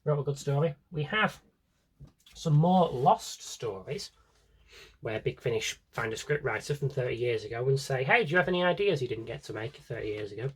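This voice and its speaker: A man's voice: medium pitch, lively intonation, moderate pace, breathy edge. A British man chatting casually through a vlog-style review, wry and opinionated, with rambling asides. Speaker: vlogger showing a collection.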